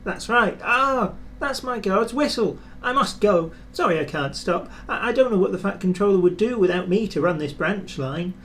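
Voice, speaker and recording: A man's voice: high-pitched, animated, moderate pace, hardly any pauses, fairly bright tone, slight background noise, voice pushed up. A man voicing a friendly, self-important little male steam engine in a children's storybook. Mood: busy, self-important.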